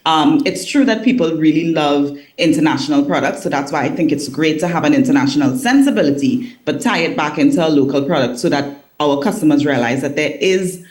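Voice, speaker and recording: A woman's voice: low-pitched, expressive, brisk, few pauses, darkish tone. A woman from Trinidad and Tobago, a business owner, answering interview questions over a video call in an articulate way and laughing easily. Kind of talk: remote interview.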